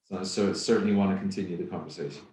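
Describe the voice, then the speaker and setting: A middle-aged man from Belgium, a native Dutch speaker with a Flemish accent, presenting at a virtual conference in a measured, reflective way with many ums. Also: low voice, darkish tone. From Belgium (Flanders). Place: home office, indoors. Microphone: video call. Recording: roomy video-call audio.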